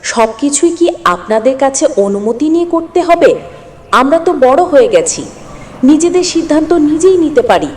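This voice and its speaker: A woman's voice: high voice, big pitch swings, moderate pace, a little mellow, pitched above the performer's own voice. A woman voicing an irritable, haughty young daughter-in-law who dismisses her elders, curt and challenging, in a moral storybook tale. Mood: irritated. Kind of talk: acted storybook dialogue. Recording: long hall-like reverb.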